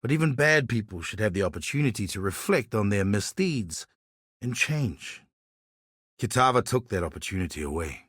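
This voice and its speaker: A man's voice: fairly low pitch, big pitch swings, moderate pace, fairly bright tone, husky, dry recording, studio quality, exaggerated voice. An actor voicing a proud, earnest and wry islander man who seeks justice for his enslaved people, in scripted video game dialogue. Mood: solemn.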